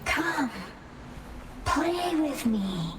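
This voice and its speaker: A woman voicing a wistful, eerie childlike girl in a video game cutscene, with halting, lingering delivery. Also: high voice, big pitch swings, very noisy, full character voice. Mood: eerie, beckoning. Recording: music behind the voice.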